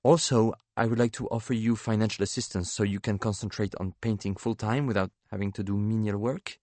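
A man's voice: fairly low pitch, steady tone, brisk, a little mellow, no room sound, lightly acted. A man playing a polite, lovelorn banker in an audiobook, formal and earnest in his speech. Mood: earnest, persistent.

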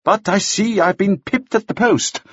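A man voicing a cheerful, breezy and courteous gentleman who talks in a genial, chatty way. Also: sits high, normal speed, slightly rough, muffled recording, pitched above the performer's own voice.

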